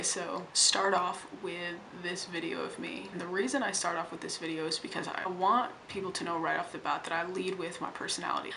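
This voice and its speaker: Blunt deep-voiced young woman, gravelly. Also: slight background noise, rough recording. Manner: blunt, wry and profane; often hushed, half-whispering. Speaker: vlogger. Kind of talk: casual vlog talk to camera. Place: home room.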